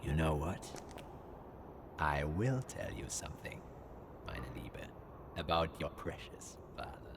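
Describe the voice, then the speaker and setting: An actor playing a menacing, condescending Nazi officer in a game cutscene, with a German accent and a gravelly, taunting delivery. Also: male voice, lively intonation, slow pace, pauses often, noisy background, full character voice. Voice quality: gravelly, creaky, very deep. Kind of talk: video game cutscene acting.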